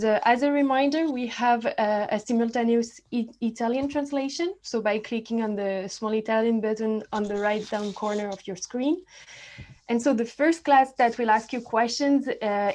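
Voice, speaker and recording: A woman with a French accent moderating an online discussion in a polite, halting manner. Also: fairly high pitch, a little mellow.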